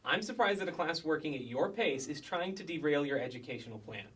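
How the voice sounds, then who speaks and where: A man's voice: fairly high pitch, animated, brisk, a bit bright, slightly rough. Scolding, exasperated kindergarten teacher, male actor, formal and dry, staged comedy reading, mic at a distance. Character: exasperated, strict kindergarten teacher, Miss Della.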